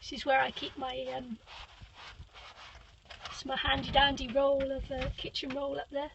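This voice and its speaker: An older woman with a squeaky voice giving a rambling, hesitant craft room tour from a small boat cabin, filming on a handheld phone. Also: completely flat delivery, moderate pace, gravelly, highs cut off, background noise. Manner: rambling, hesitant with many fillers, giggly. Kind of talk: casual live-stream tour.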